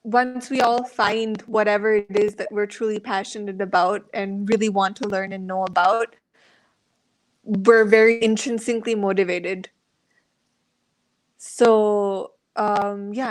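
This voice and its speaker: A woman's voice: medium pitch, level tone, a little slow, rough recording. A woman from India giving thoughtful, articulate answers as a guest on an online panel, with rhetorical questions and the odd "right?". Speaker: panel guest speaking as a learner. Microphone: online video call.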